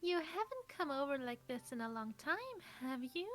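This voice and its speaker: A woman voicing a gentle teenage schoolgirl in a visual novel, cheerful on the surface and quietly sad, with music behind her. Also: high-pitched, sing-song, normal speed. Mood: subdued, probing.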